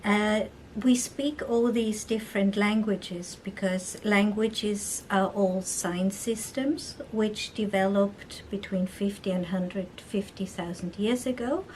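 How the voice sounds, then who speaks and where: Slow Austrian-accented woman, thoughtful. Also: mid-range voice, steady tone, darkish tone, slight background noise, rough recording. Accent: Austrian German. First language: Austrian German. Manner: thoughtful, halting with fillers. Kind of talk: documentary interview.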